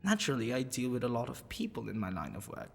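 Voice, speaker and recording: Man playing a husky, smitten half-dragon librarian. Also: medium pitch, very animated, full character voice. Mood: reminiscing, annoyed. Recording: long reverberant echo.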